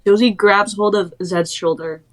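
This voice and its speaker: A woman's voice: medium pitch, steady tone, normal speed. A young adult woman reading stage directions as the narrator of a screenplay.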